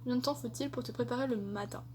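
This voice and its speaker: A woman's voice: on the high side, fast talker, a little mellow, slight background noise. A young woman answering tag questions in a casual vlog, chatty and hesitant with many fillers. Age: young adult. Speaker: vlogger.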